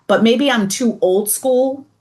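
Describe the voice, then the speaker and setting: A woman's voice: on the high side, slow pace, a little mellow. A woman with a Boston accent, a columnist guest in a casual roundtable, chatty and full of "like" and quoted asides. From USA.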